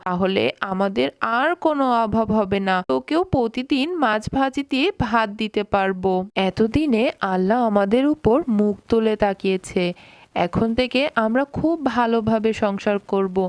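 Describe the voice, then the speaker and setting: A woman's voice: fairly high pitch, moderate pace, clean tone, dry recording, natural voice. A woman playing a chatty village woman in a cartoon fable. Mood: reassuring, hopeful. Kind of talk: cartoon voice acting.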